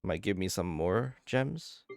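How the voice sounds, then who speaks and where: A young man commentates casually on a video game in a low-pitched voice. He is low-key and thinks aloud, with game music softly behind him. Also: animated, slow, creaky, no room sound. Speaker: gaming video creator.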